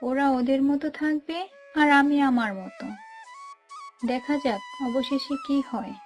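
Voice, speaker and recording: Woman as sweet young wife, cartoon fairy tale, high pitch, slow delivery, earnest, devoted. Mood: tearful gratitude. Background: background music.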